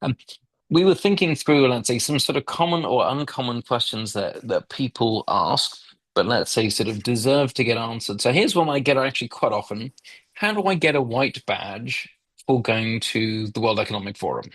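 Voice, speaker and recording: A man's voice: mid-range voice, expressive, moderate pace, fairly bright tone, no room sound. A man hosting a webinar panel, talking in a hedging, conversational way. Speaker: webinar host.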